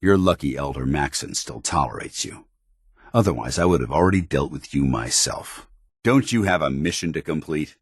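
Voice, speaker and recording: Stern game soldier, very low pitch, smooth dry sound, dutiful, formal, clipped military delivery. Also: male voice, animated, normal speed, dry recording, heavily acted. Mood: stern, contemptuous. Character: stern, dutiful soldier in power armor, paladin of a military order. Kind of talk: video game companion dialogue acting.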